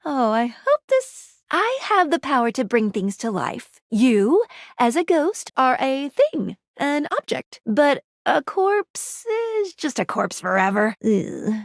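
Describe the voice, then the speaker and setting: A woman voicing a chipper, helpful young female magical guide in a video game, with a perky, playful and expressive manner. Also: high voice, slow pace, creaky, no room sound, studio quality, full character voice. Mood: quirky, musing. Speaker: voice actor playing a guide character in a dating simulator game. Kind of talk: animated video game character dialogue.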